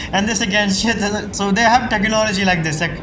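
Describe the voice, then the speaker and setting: A man's voice: high voice, normal speed, over loud music, rough recording. A man casually reacting to a game story, rambling and swearing now and then. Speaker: gaming streamer reacting to a story quest.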